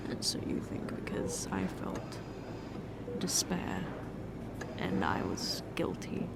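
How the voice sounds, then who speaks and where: A woman playing a distraught young fiancée who confesses in halting speech, often restarting her sentences. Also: extremely low pitch, sing-song, creaky, very noisy, full character voice. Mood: hushed, wounded. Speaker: ASMR roleplay performer. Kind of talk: ASMR roleplay.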